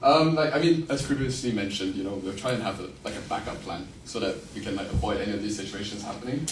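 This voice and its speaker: A man's voice: fairly low pitch, fast, distant mic. A young man in his twenties from Singapore, with a British-leaning Singaporean accent, giving a wry, self-mocking campaign speech and answering questions with many fillers. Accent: Singaporean-tinged British English. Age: 20s. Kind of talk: joking election speech, then answering questions. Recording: echoey room, from afar, noisy.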